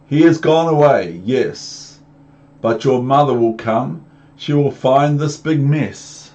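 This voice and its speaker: A man voicing a worried, scolding storybook fish, giving emphatic drawn-out warnings. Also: medium pitch, animated, darkish tone, voice pushed up. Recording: muffled lo-fi home recording with background noise.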